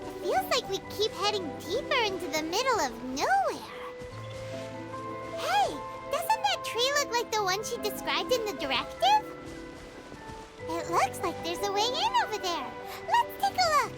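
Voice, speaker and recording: A tiny, food-loving companion girl for a video game, eager and exclamatory. Her voice sits extremely high and leaps wildly up and down. Loud game music plays behind her. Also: wildly swooping pitch, moderate pace, full character voice. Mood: curious. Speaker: companion character. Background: loud game soundtrack music.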